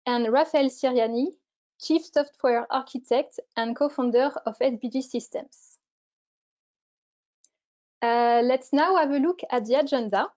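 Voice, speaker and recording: High-voiced woman hosting a webinar. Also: warm tone. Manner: formal, with frequent "uh" fillers. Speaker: event manager hosting a webinar. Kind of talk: scripted webinar introduction. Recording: muffled webinar audio.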